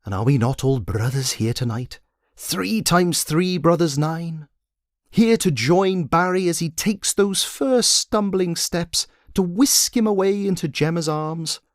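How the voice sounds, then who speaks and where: Whimsical, childlike mystic guide for an audiobook, performed by a man: serene, riddling, unhurried, with widely swinging pitch. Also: expressive, no echo, studio quality. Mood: ceremonious. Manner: slow, ceremonious, riddling.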